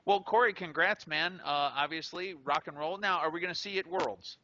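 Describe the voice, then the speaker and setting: Male show host, casual and upbeat, a slightly high and very bright voice, lo-fi sound. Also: fairly quick, slight background noise. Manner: upbeat and joking, with many fillers. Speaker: show host interviewing a tournament winner. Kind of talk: casual interview. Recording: lo-fi recording.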